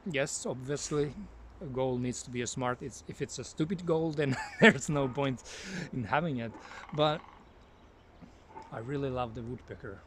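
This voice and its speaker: A man's voice: medium pitch, normal speed, pauses often, a little hiss or hum. A man with a Finnish accent, a native Finnish speaker, talking casually to camera outdoors in a forest, thinking aloud and drifting off topic. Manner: rambling, thinks aloud, easily sidetracked. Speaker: language learner vlogger.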